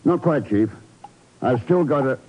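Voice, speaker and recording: An actor playing a cool, determined secret agent in a 1950s radio drama, with a terse, dry, commanding delivery. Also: male voice, mid-range voice, lively intonation, unhurried. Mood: resolute. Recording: muffled 1950s radio recording.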